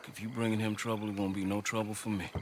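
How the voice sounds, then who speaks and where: Male actor as friendly boatman, fairly deep voice, easygoing, deferential, noisy watery game ambience. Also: little pitch movement, quick pace, close to an everyday voice. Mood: obliging. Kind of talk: dramatic video game cutscene acting.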